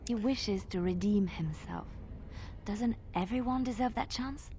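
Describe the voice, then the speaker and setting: An actress playing a gentle, devout, forgiving companion in a video game, speaking softly and earnestly. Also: female voice, mid-range voice, measured pace, a little hiss or hum, natural voice. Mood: earnest, compassionate.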